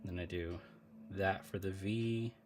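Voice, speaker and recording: Low-voiced man chatting on a live stream. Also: fairly even intonation, takes it slowly, a little hiss or hum. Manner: chatty, laughs often, talks to viewers. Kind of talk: casual live-stream chat while drawing.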